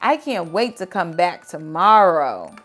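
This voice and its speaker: A woman reading children's picture books aloud in an expressive, dramatic and deliberate storytelling style. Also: fairly low pitch, faint music behind.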